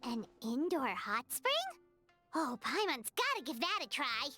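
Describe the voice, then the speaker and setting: A tiny fairy companion from a video game, with a piercingly high childlike voice. She is bubbly and excitable, swinging up and down in bright exclamations over soft music. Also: measured pace, husky, full character voice. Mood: excited, curious. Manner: speaks of self in third person, exclaiming.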